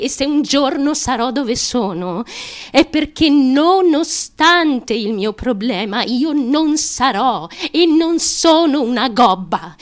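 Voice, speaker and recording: An actress playing a small, fragile, fiercely driven young woman in a theatrical monologue, childlike, halting and insistent, with repetitive phrasing. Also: a little high. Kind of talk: theatrical stream-of-consciousness radio monologue.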